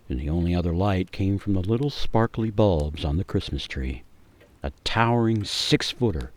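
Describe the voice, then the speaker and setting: A man giving a measured, theatrical, suspenseful narration of a scripted radio story. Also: low voice, warm tone.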